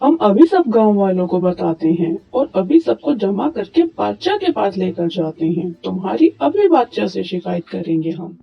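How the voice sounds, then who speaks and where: A man acts out an indignant cartoon villager, husky and very animated in pitch. He complains emphatically. The recording is dull and lo-fi, with music behind. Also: moderate pace, few pauses, full character voice. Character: indignant male villager complaining about bread prices. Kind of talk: Urdu moral cartoon story dialogue. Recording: dull lo-fi sound, music bed.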